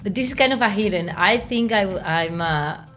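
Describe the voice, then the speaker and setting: A woman from Argentina, a marketing leader being interviewed, who talks in halting phrases with restarts, has Spanish as her first language and laughs often. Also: mid-range voice, expressive, a bit bright, faint music behind. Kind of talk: casual interview conversation.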